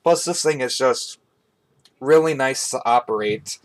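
Casual young man, medium-pitched voice. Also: measured pace. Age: young adult. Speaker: hobbyist video host. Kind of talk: casual product review chat. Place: small bedroom indoors.

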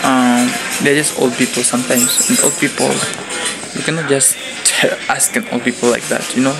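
A man's voice: mid-range voice, rough recording. A young man from Namibia in his twenties, chatting casually into a handheld phone camera while walking through a shopping mall and car park. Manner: chatty, repeats "like" and "guys", chuckles often. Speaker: vlogger interviewing people. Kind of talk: casual street-interview vlog. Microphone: handheld phone camera at arm's length.

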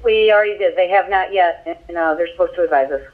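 A woman's voice: fairly low pitch, fast, narrow, tinny audio. A woman dispatcher speaking in clipped, procedural phrases over a police radio, heard through a scanner speaker.

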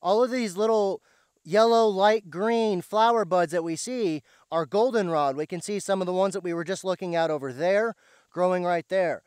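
Slightly hoarse Midwestern man teaching. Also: sits high, normal speed, no echo. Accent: Midwestern American. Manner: steady, explanatory, repeats key points. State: slightly hoarse after losing his voice. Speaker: wild plant guide host. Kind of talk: outdoor plant identification walkthrough. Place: outdoors in a yard by plants.

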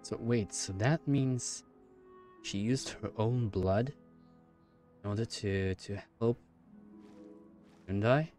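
A man in his thirties giving casual, halting reaction commentary over gameplay, musing aloud. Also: lowish voice, darkish tone, faint music behind. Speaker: gaming streamer.

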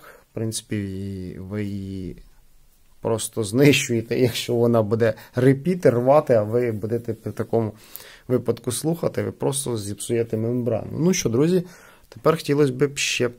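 A man with a creaky voice giving casual unboxing and product testing commentary as a host. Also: medium pitch, normal speed, darkish tone. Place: indoors at a wooden table.

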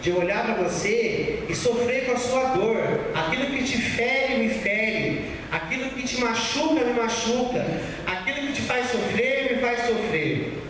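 A man's voice: very high voice, a little slow, a bit bright. A man in his thirties with a São Paulo Brazilian accent, preaching an earnest, exhorting church sermon, repeating phrases for emphasis. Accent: Brazilian, São Paulo state. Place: church stage at a pulpit, indoors. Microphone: handheld mic through room speakers, far camera. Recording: echoey noisy hall, recorded from far off.

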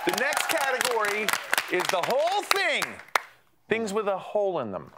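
High, lively game show host man. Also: over a noisy crowd, very noisy. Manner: brisk and teasing, counting down clues. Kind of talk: upbeat game show hosting. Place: TV studio set.

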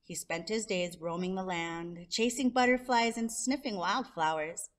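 Expressive woman reading a children's storybook. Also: lowish voice, measured pace. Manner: expressive, draws out descriptive words. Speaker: storyteller, show host.

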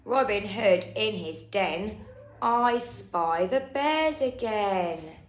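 A woman in her thirties reading a picture book aloud to young children in a deliberate, drawn-out sing-song, as a nursery storyteller. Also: mid-range voice, animated, slight background noise. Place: indoor room. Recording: roomy indoor echo.

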